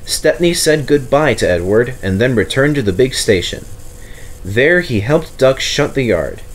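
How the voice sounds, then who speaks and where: Expressive male storybook narrator. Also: mid-range voice, measured pace, a little hiss or hum, rough recording.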